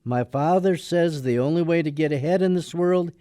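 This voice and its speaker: Actor as a higher-pitched, wry young man. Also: moderate pace, dark tone, no room sound, clean studio sound, natural voice. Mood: gloomy, wry. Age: young adult. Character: decent, gloomy young man under his father's thumb. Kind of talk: radio drama acting and narration.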